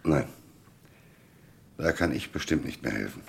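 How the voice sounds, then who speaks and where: An actor playing a gruff, curt, authoritative police commissioner in an old German radio crime drama on a noisy recording. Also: male voice, very deep voice, animated, a little slow, exaggerated voice. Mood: calm, commanding.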